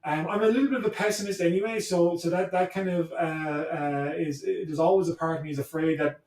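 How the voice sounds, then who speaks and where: A man, a guest in an interview, speaking thoughtfully and haltingly as he searches for words. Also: a little high, fairly even intonation, darkish tone. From Ireland (Cork). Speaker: guest, history professor. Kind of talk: reflective conversational interview. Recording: echoey room, distant from mic.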